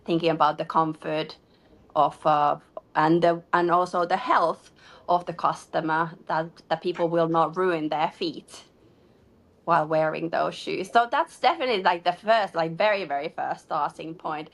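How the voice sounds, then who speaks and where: Woman, designer guest, low-leaning voice, chatty and filler-heavy. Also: normal speed. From Finland. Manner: chatty, many "like" fillers, laughs between phrases. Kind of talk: casual interview answers.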